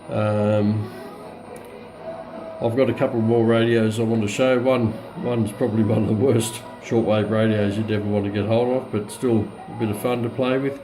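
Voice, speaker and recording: An Australian man casually walking through a product in a hesitant, low-key, unscripted way. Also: rough recording. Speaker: radio hobbyist presenting a demonstration. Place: indoors at home, radio on a countertop.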